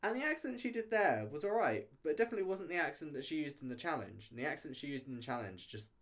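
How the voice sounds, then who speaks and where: A man's voice: on the high side, big pitch swings, quick pace, a bit bright. A man giving a casual, chatty video review, talking in a hesitant, rambling way with frequent hedging and self-interruption. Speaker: reality show reviewer.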